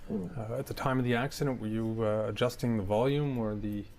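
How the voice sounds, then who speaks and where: Man playing a brisk, fast-talking lawyer. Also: mid-range voice, darkish tone, close to an everyday voice. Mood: businesslike, probing. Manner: formal, procedural, persistent. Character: brisk, probing male defence lawyer questioning a witness. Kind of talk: legal examination in a training dramatization.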